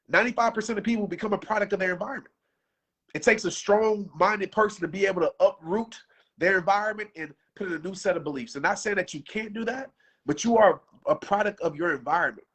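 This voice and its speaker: A man's voice: high-pitched, slightly rough. A man in his thirties giving an unscripted motivational talk on a livestream, casual and passionate, with his voice wearing out. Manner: passionate, preachy, casual slang. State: losing his voice.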